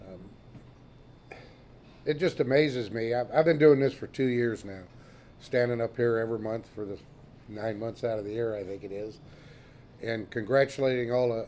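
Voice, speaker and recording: Raspy-voiced man giving a plainspoken speech. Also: mid-range voice, a little mellow, a little hiss or hum. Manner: plainspoken, halting with fillers. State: raspy voice this morning. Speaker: guest speaker, veterans and business leader. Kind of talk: short encouraging speech.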